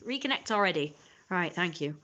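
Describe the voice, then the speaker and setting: Woman ranting fast on a live stream. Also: fairly low pitch, lively intonation, bright, forceful tone, highs cut off. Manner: exasperated, fast ranting with mocking quoted asides. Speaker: livestreamer.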